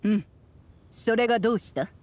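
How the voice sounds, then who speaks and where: A woman's voice: on the high side, moderate pace, darkish tone, pitched below the performer's own voice, close to an everyday voice. A woman in an anime game putting on a stern old female master, archaic, imperious and disdainful. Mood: cold, disdainful. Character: stern, haughty old female master astrologer, being mimicked. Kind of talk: Japanese anime game acting.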